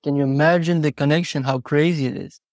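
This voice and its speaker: Earnest higher-voiced man, non-native English speaker. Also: fast, gravelly, darkish tone, no echo, clean studio sound. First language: not English. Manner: earnest, philosophical, explaining with examples and rhetorical questions. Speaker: horse trainer and podcast guest. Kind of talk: reflective interview talk, personal anecdotes.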